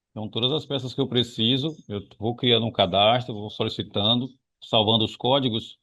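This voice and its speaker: A man's voice: medium pitch, a bit bright. A man from Bahia, a student earnestly explaining his work example step by step on a video call. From Bahia, Brazil. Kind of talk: sharing an example in class. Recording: muffled call audio.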